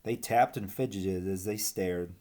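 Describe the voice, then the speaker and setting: A man's voice: low voice, steady tone, unhurried, mellow. A man narrating a children's picture book expressively as a read-aloud storyteller.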